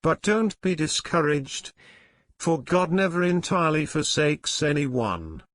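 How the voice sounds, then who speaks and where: A man's voice: a little high, animated, a little slow, fairly bright tone, pitched above the performer's own voice. A man voicing a poor, devout father in a storybook, speaking earnestly to his family and elders. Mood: reassuring, resolute.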